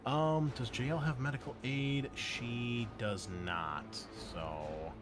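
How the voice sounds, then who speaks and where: A man's voice: medium pitch, takes it slowly. A man running a tabletop roleplaying game, talking casually and thinking aloud as he works through the rules. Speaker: game master. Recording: music behind. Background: music.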